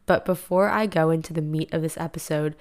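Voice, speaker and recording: A young woman hosting a solo podcast in a casual, rambling way, her voice raspy and a bit deeper because she has just woken up. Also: steady tone, a little fast. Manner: chatty, rambling, filler-heavy. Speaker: podcast host. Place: living room.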